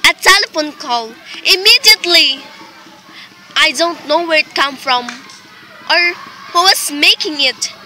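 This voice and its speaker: A woman's voice: high-pitched, sharp tone, slight background noise, exaggerated voice, low-quality recording. A woman playing an anxious, imperious, exasperated wife in an amateur radio play, speaking in a melodramatic, insistent way. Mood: urgent, alarmed. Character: anxious, imperious, exasperated invalid wife reporting a murder plot.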